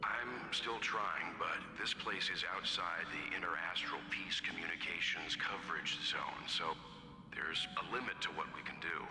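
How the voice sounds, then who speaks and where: An actor playing a grave, steady, caring male crewmate in a video game, speaking in a measured, halting way. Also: low-pitched. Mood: matter-of-fact. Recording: echoey long reverb, music behind.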